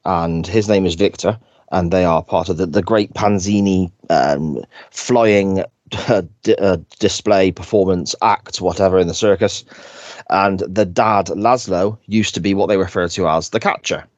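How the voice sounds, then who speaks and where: Man, croaky sore-throat voice, lowish pitch, dry close sound, rambling podcast host. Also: a little slow, darkish tone, dry recording, dull audio. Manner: rambling, self-correcting. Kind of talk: casual podcast chat.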